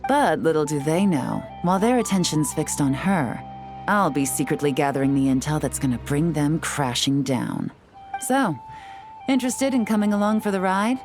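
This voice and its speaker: A composed female spy with a low voice, sly and self-assured, teasing as she talks through her schemes. Soft game music sits faintly behind her. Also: expressive, normal speed. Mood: scheming. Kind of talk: video game voice acting.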